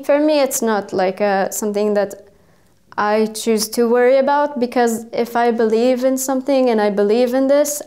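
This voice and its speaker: A young woman in her twenties from Israel, speaking English, answering interview questions hesitantly and carefully, with many pauses. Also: on the high side, dark tone. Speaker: student.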